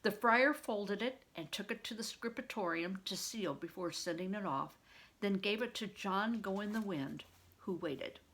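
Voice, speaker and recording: An older woman reads a story aloud in a narrator's role. Her voice has a noticeable creak and gravel to it. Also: medium pitch, unhurried, a bit bright. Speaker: storybook reader, retired reading teacher.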